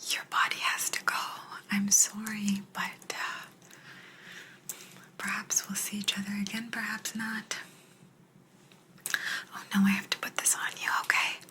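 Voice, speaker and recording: A woman voicing an aloof, secretive alien abductor in a soft, breathy, unhurried whisper for an ASMR sci-fi roleplay. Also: mid-range voice, completely flat delivery, lightly acted. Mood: apologetic, soothing. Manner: unhurried, hushed, personal attention. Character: aloof female alien abductor, calm, secretive, gently apologetic.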